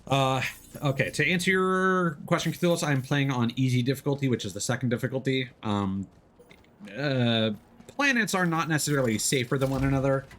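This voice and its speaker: A man doing casual live gaming commentary and chatting with viewers, excitable and quick to exclaim when surprised. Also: mid-range voice. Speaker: video game streamer.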